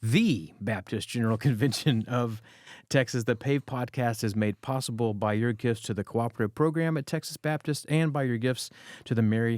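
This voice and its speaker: An American man with a mid-pitched voice hosts a podcast conversationally. He is effusive and repeats himself, and the recording is dry and free of room sound. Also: dry recording. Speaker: podcast host, church growth director. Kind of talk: casual conversational hosting.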